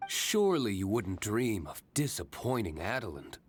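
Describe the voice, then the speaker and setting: A reserved young master of a winery in a video game. His voice sits low but moves expressively. He speaks politely and with measured understatement. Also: very animated, a little hiss or hum. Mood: dry teasing. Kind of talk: video game character voice acting.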